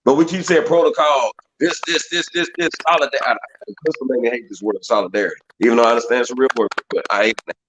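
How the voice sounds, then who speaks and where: A man's voice: medium pitch, very fast, hardly any pauses, a bit bright. A man, a guest on a talk stream, speaking like a drill instructor about training and leadership, and listing training terms. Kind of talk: casual chat. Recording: noisy call audio.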